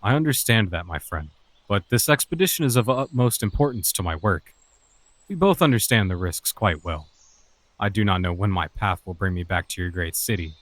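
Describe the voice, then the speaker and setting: A man playing a composed, enigmatic lordly scholar in a fantasy audio drama, speaking in a measured, formal way. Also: sits low, lively intonation, dry recording. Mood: persuasive.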